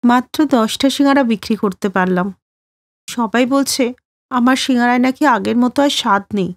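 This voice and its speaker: A woman's voice: on the high side, a little fast, no echo, voice pushed down, close to an everyday voice. A woman voicing a worried, kind-hearted street snack seller in a cartoon story. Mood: dejected. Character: worried, kind-hearted samosa seller, struggling young wife.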